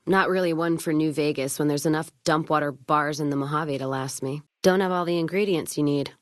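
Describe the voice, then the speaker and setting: Game role: hard-bitten, hard-drinking caravan woman played by an actress, low register, blunt and wry, folksy, very dry close recording. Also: fairly even intonation, a little fast, dry recording, lightly acted. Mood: wry, weary. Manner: blunt, wry, folksy drawling turns of phrase. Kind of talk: video game voice acting, scripted dialogue.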